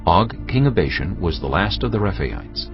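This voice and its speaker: Very deep-voiced male narrator. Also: very animated, normal speed, gravelly, highs cut off, music in the background. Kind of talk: scripted scripture narration.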